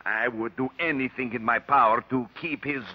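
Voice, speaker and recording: An actor playing a bitter, defiant professor suspected of murder, speaking tersely and stiffly in an old radio drama. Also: male voice, mid-range voice, normal speed, natural voice. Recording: dull, lo-fi old radio recording.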